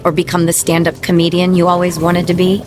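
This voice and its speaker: A woman acting in a radio drama as a skeptical but caring ex-wife, direct and expressive. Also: lowish voice, fast, noisy background, close to an everyday voice.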